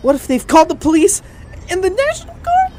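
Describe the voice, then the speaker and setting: An actor voicing an excitable, awestruck boy who talks aloud to himself in a scripted audio drama. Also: extremely high pitch, fairly quick, noisy background, exaggerated voice. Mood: worried. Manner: exclamatory, talking aloud to himself. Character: excitable, awestruck boy, comic book fan.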